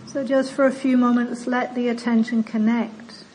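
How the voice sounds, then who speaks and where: A woman meditation teacher leading a guided meditation in a gentle, soothing manner, with long pauses between slow phrases. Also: a little high, flat delivery, low-quality recording. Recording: clear background noise.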